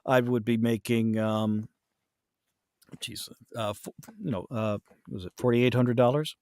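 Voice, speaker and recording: A man with a medium-pitched voice talks as a guest in a casual interview. He explains in detail, hesitating and correcting himself as he goes. The sound is dry, with hardly any room in it. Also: steady tone, a little slow, a little mellow, no room sound. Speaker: podcast guest, voice actor and audiobook narrator.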